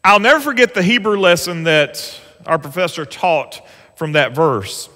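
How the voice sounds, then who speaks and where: A man delivers a sermon in a church sanctuary, his voice bright and a little high for a man. He preaches earnestly and conversationally, leaning into the scripture he reads aloud. The sound rings with the long reverb of a large hall. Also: normal speed. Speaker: pastor.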